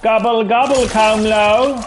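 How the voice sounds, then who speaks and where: A man's voice: high voice, fairly even intonation, slow, background noise. A man, chatting casually while he plays a fighting game, rambling with lots of fillers and restarts. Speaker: gaming streamer. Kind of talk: casual gameplay commentary.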